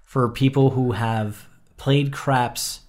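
A man's voice: mid-range voice, steady tone, slow, a little mellow. Rambling man in casual chat. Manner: rambling, wry asides. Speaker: co-host.

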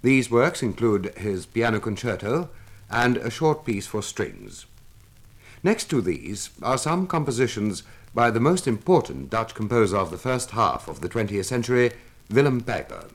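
A man giving a formal, measured scripted narration as an announcer, recorded on a 1950s radio transcription disc. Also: fairly low pitch, very animated. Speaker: announcer of a classical music demonstration record.

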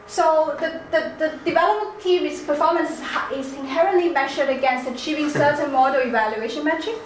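A woman with a Chinese accent giving a seminar presentation, halting with many restarts and fillers. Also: high-pitched. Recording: echoey room, faint background noise.

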